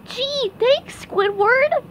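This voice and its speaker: A woman's voice: extremely high pitch, very slow, a bit bright, exaggerated voice. A woman voicing an eager, anxious young male sea sponge in a hobby cartoon dub, with a whiny, childlike, exclaiming manner. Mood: cheerful. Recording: muffled, clearly noisy home recording.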